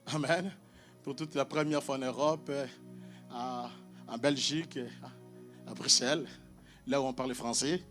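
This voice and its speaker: A man's voice: medium pitch, fairly even intonation, a little slow, pauses often, fairly bright tone. Guy preaching in a church hall, lots of amens, calls out to the crowd, faint music behind. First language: Portuguese. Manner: emphatic call-and-response with frequent amens. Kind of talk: sermon.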